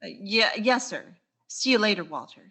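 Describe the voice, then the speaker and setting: An actress playing a wry, quick-witted high school English teacher in 1940s radio sitcom style, with dry sarcastic asides. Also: female voice, lively intonation, bright, forceful tone, husky. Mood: polite, wry.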